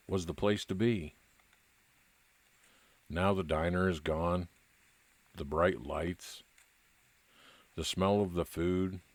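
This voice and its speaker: A man playing a weary, nostalgic private investigator, narrating an old-time radio detective drama in a measured, reflective way. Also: low-pitched, no echo.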